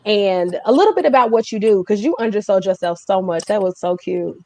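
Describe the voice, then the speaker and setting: A woman's voice: mid-range voice, fairly quick, mellow. A woman hosting a casual live-streamed chat, talking in a playful, teasing way. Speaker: host of a music speaker series. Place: live video stream, self-shot on a phone.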